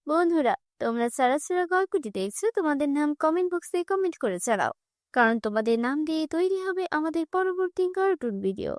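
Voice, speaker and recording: A woman's voice: high-pitched, sing-song, fast talker, no room sound, studio quality. A woman narrating a cartoon fairy tale in a scripted storytelling manner.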